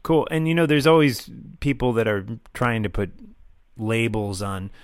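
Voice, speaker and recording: A man's voice: medium pitch, animated, normal speed, clear, resonant tone, dry recording, studio quality. A man hosting a casual interview and asking questions in a laid-back, easygoing way. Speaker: interview host.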